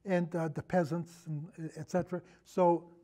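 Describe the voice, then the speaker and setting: An older man talking casually about film as a guest, in a rambling, halting way that trails off. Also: a little high, little pitch movement, warm tone. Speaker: podcast guest, longtime radio show host.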